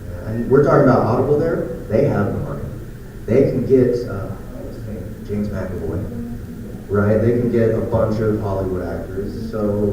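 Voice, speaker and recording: A man's voice: lowish voice, background noise. A man giving an informal conference presentation as an audio producer, conversational with joking asides, recorded from a distance in a large echoey room.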